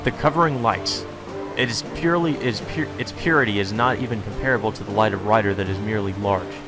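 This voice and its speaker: A man's voice: fairly low pitch, fast. A man reading visual novel text aloud as a let's play host, with dramatic delivery and casual asides, over game music. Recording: music playing behind the voice.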